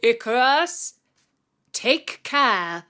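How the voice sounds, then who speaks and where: Protective father from Greek myth , read by a female storyteller. Deliberate, slow, serious, instructive, with expressive intonation. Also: a little high, clean tone, heavily acted. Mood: calling out, urgent.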